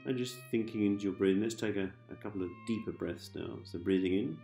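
A man guiding a sleep hypnosis session in a calm, gentle manner, giving repetitive soothing instructions with pauses. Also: fairly low pitch, creaky, roomy sound, faint music behind. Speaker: hypnosis host, meditation guide.

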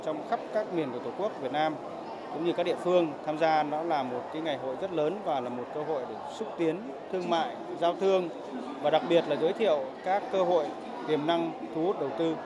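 A man in his thirties giving a formal interview in an indoor exhibition hall, pausing with fillers. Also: fairly high pitch. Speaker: trade promotion official. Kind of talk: on-camera interview. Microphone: handheld reporter mic. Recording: loud hall background noise.

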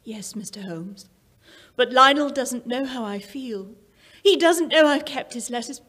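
A woman's voice: high-pitched, animated, a little slow, a bit bright, heavily acted. A woman playing an earnest, lovelorn lady in an old-time radio drama, emotional and melodramatic. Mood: distressed, pleading. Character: earnest, lovelorn lady pleading to keep secret. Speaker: amateur radio theatre actor. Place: small indoor museum stage. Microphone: standing vintage-style stage microphone.

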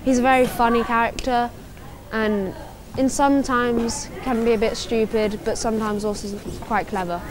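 A woman's voice: a little high, fairly even intonation, moderate pace, heavy background noise. Chatty English teenage girl. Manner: chatty, eager with likes. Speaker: student actor. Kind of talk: interview. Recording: music playing behind.

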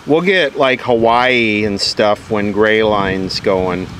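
A man giving casual, matter-of-fact commentary on a hands-on demonstration, unhurried, recorded outdoors. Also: lowish voice. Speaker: video host. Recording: loud wind and radio static noise. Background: wind noise, hissing radio.

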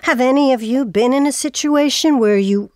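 A woman's voice: high voice, normal speed, darkish tone, dry recording, clean studio sound. A woman hosting a radio show in a presenter's style. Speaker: radio host. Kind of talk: interviewing and scripted radio credits.

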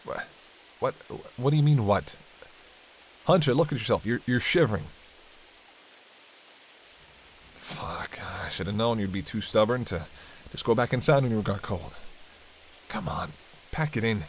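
A man's voice: fairly low pitch, normal speed, lightly acted, poor audio. A man playing a sardonic, teasing vampire in an intimate roleplay, talking in a conversational, bantering way with dry sarcasm. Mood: exasperated, fussing. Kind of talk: intimate audio roleplay monologue. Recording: steady hiss and rain behind. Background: rain.

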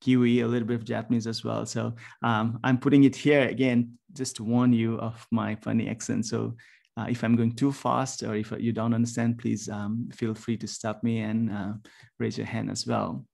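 A man from Nepal in his forties, a university lecturer giving a presentation over a video call, talking haltingly with many ums and restarts. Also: mid-range voice, level tone, vocal fry, darkish tone. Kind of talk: webinar presentation.

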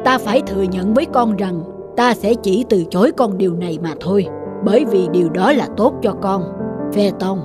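A woman's voice: mid-range voice, lightly acted. A woman voicing a proud, protective sun god father in a cartoon, speaking formally and solemnly. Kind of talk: dubbed mythological cartoon acting.

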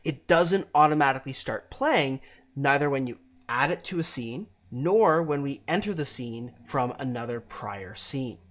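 Guy doing a screencast tutorial, mid pitch that moves around a lot, explains stuff in careful chunks. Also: lively intonation, measured pace, fairly bright tone. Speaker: tutorial presenter. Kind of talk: instructional screencast narration.

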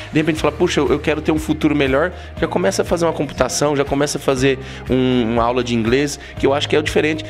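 A Brazilian man in his thirties hosting a casual TV interview, chatty and affirming. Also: mid-range voice. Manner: chatty, affirming "sem dúvida". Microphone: handheld mic.

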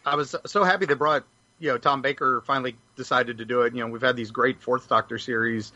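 A man's voice: medium pitch, fairly even intonation, brisk, bright, forceful tone, highs cut off. A man talking as an enthusiastic, rambling panelist in a casual fan chat. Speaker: podcast panelist.

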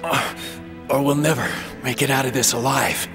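An actor playing an earnest young rookie police officer in a video game, understated and sober. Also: male voice, fairly low pitch, lively intonation, unhurried, music in the background. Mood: weary, pleading. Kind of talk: video game cutscene acting.

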